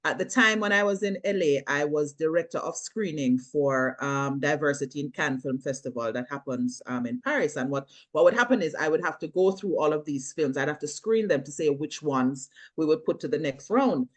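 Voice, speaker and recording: Jamaican woman guest, middle-aged, low and full voice, lively storyteller who laughs easily. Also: normal speed, hardly any pauses. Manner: animated, laughs often, slips into Jamaican patois. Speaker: filmmaker and actress, guest. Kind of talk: casual interview chat.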